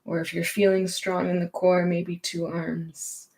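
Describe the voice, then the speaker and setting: A woman teaching gentle movement and meditation in a reassuring, permissive, unhurried manner. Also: lowish voice, level tone.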